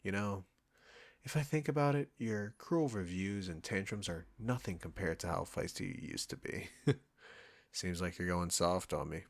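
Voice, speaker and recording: A man's voice: low-pitched, moderate pace. A man playing a cocky, condescending chef who teases a longtime rival, in an intimate roleplay audio drama spoken to the listener. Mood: smug teasing. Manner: smug, bantering, teasing.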